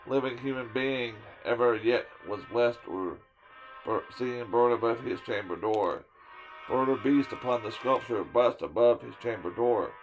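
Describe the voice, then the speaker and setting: A man giving a dramatic reading of a poem, swinging from loud declaiming to hushed whispers and sometimes tripping over a word. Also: medium pitch, rough recording. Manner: stumbles and restarts words now and then. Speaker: storyteller reading a poem aloud.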